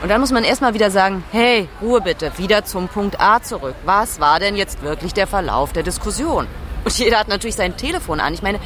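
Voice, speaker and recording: An actress playing an exasperated German business expat, venting conversationally with English business words mixed in. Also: female voice, medium pitch, lively intonation, a little fast, fairly bright tone, a little hiss or hum, lightly acted. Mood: agitated, imitating. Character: exasperated German business expat in China recounting endless negotiations. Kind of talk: documentary audio drama monologue.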